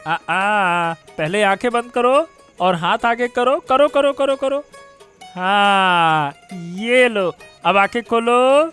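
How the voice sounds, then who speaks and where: A man's voice: high-pitched, lively intonation, slow pace, a bit bright, smooth, faint music behind, heavily acted. A man playing a doting, guilt-ridden poor village father in a cartoon moral story, tender and fretful. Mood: tender, eager. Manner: tender, fretful, musing aloud.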